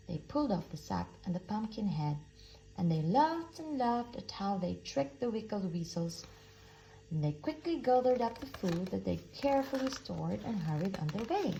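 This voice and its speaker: Female storybook reader, slow and animated with a creaky edge, faint noise in the background. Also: medium pitch. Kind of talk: expressive read-aloud children's story narration.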